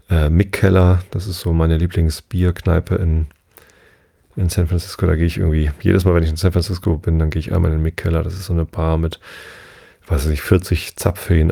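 A man in his forties talks through a relaxed solo podcast episode, wandering from point to point with plenty of fillers and restarts. His voice sits low and dark. It has a husky, gravelly edge. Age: 40s. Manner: chatty, rambling, many fillers and self-corrections. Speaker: podcast host. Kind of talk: casual solo podcast monologue.

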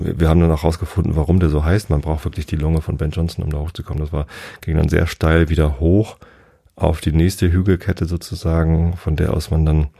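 A man of forty-five telling a travel story casually, in a relaxed, rambling way and often pausing to search for words. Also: rumbling low, gravelly.

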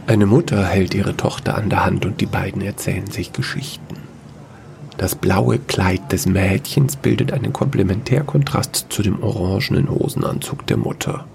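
A man with a fairly low, crackly voice narrates a science-fiction fairy tale for audio. His delivery is measured and scripted, in the manner of a storyteller. Faint noise sits behind the voice. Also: gravelly, a little mellow.

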